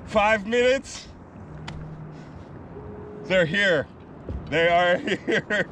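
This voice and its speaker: A man in his thirties fishing from a kayak on open coastal water, narrating casually into a boat-mounted action camera and whooping with excitement. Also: high voice, drawn-out words. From California. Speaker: kayak angler filming himself. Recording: wind and water noise outdoors.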